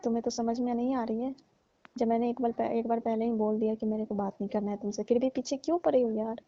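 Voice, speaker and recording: A woman's voice: fairly high pitch, steady tone, fast talker, dark tone, no echo. A woman in a casual phone conversation, speaking in a dismissive, detached manner as she brushes off the other person. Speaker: call partner breaking off a relationship. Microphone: phone call. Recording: dull lo-fi phone call recording.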